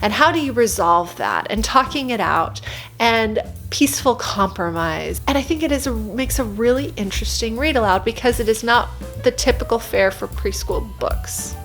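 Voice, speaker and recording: High-voiced expressive woman, chatty. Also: normal speed, soft background music. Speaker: preschool teacher reviewing picture books. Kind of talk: casual chatty recommendation vlog.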